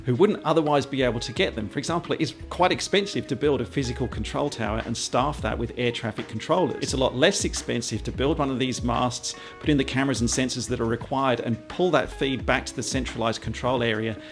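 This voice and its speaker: A man, presenting an explainer to camera in a measured, conversational way. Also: mid-range voice, soft background music. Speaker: aviation vlogger and presenter. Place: small quiet home office, indoors.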